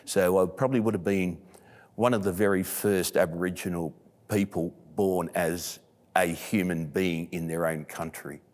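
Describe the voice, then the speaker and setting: A man's voice: low-pitched, steady tone, normal speed, darkish tone. An Australian man in his fifties talking casually in an oral history interview, recorded with long reverb. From Panania, Sydney, Australia. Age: 50s. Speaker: local elder, interviewee.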